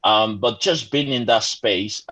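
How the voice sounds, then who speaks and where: A man's voice: on the low side, measured pace, a bit bright. A man in his forties, originally from Nigeria, answering interview questions earnestly over a remote call, with many fillers and restarts. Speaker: podcast guest. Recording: muffled lo-fi remote call audio.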